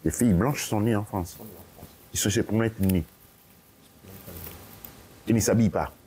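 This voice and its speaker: A man's voice: on the low side, a little slow, mellow, slight background noise, low-quality recording. A man giving lecturing interview answers, emphatic and repetitive, often checking with "ok?". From Italy. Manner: emphatic, repeats his points, checks with "ok?". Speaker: guest speaker.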